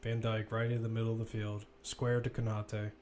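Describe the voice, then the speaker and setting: A man's voice: fairly low pitch, completely flat delivery, moderate pace, a little mellow, a little hiss or hum. Man calling live football play-by-play. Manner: running present-tense description in clipped phrases. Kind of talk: live sports play-by-play commentary.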